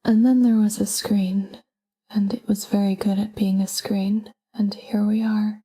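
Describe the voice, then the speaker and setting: Breathy whispering woman guiding sleep, very slow. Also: mid-range voice. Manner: calm, gentle, unhurried with short phrases. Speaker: sleep meditation guide. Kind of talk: whispered guided sleep meditation.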